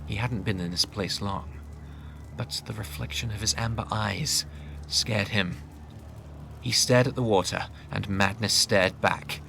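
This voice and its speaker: Low, gravelly male fantasy narrator. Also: measured pace, bright, forceful tone. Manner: grave, dramatic. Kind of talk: dramatic scripted fantasy narration. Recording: faint noise and ambience.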